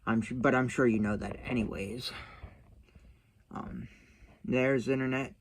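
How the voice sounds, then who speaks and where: A man's voice: medium pitch, steady tone, unhurried, a little hiss or hum. A man chatting casually to his viewers as a channel host, rambling along in a folksy, self-deprecating way. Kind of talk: casual vlog chat to viewers.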